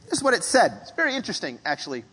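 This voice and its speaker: A man from the Philippines preaching a sermon in a measured, reflective way, often clearing his throat. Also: high voice, huge pitch swings, sharp tone, long reverb tail, rough recording. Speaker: pastor.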